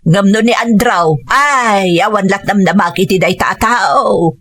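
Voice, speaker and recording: A woman with a Filipino accent playing a demanding, grumbling elderly mother with a husky voice in a radio drama. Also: medium pitch, measured pace, a bit bright. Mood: scornful, complaining. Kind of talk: Ilocano radio drama acting.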